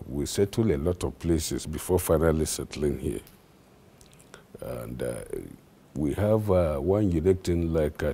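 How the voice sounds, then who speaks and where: Deep gravelly hesitant Nigerian man. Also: mellow. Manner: halting, many fillers. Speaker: traditional ruler. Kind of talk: interview answers.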